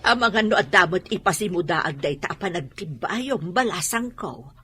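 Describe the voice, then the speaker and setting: A woman's voice: lowish voice, lively intonation, normal speed, pushed and bright, faint music behind. A woman with an Ilocano accent playing a worried, motherly older woman sheltering from war in a radio drama. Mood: gently probing. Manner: fretting, exclamatory.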